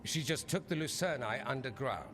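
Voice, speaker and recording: A man performs a suave fantasy game mage, sure of himself and dryly witty. His mid-pitched delivery is theatrical and swings widely in tone, with music underneath. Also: expressive, normal speed, natural voice. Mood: assured, teasing. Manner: theatrical, dry, sardonic. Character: suave, witty, self-assured male mage and magister. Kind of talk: dramatic game dialogue. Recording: reverberant hall, music underneath.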